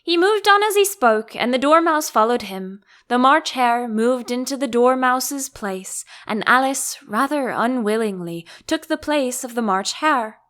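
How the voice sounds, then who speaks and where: High-voiced, slow-paced woman narrator. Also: expressive, a bit bright. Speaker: audiobook narrator. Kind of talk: scripted storybook narration.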